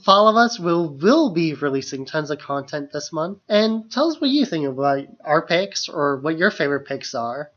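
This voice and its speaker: A man's voice: on the high side, sing-song, normal speed, few pauses, fairly bright tone, muffled recording. A man co-hosting a podcast in casual, conversational talk about films. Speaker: podcast co-host. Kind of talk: casual film discussion.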